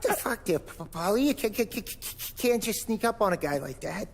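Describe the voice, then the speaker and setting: A man's voice: high-pitched, expressive, fairly quick, gravelly, big-room sound, slight background noise. A man playing a twitchy, good-natured American garage mechanic with a heavy stammer in a video game cutscene. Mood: startled. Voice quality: heavy stammer, repeating syllables and words.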